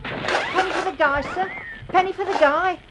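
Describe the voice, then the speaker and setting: A woman's voice: very high-pitched, moderate pace. A woman playing a cheeky young Cockney street boy in a radio play. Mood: pleading. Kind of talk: radio drama acting. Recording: noisy, clicky old radio recording.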